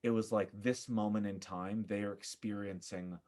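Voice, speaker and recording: Low-voiced man, earnest and thoughtful. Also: steady tone, a little mellow. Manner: earnest, thoughtful, halting with repeated phrases. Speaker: guest, theater director. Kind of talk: reflective interview talk.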